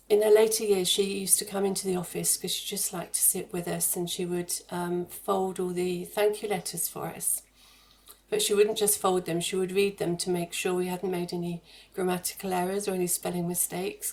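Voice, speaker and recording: A woman with a fairly low voice talks as a guest in a radio interview. Her intonation stays quite level. She explains her charity work earnestly, pausing and hesitating as she finds her words. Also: darkish tone.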